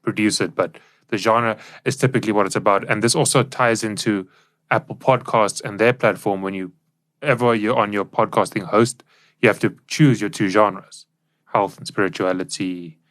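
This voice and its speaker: A man's voice: fairly low pitch, fairly even intonation, moderate pace, fairly bright tone. A South African man co-hosting a podcast, explaining things in a casual, informal discussion style on a studio microphone. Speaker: podcast co-host. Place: professional recording studio.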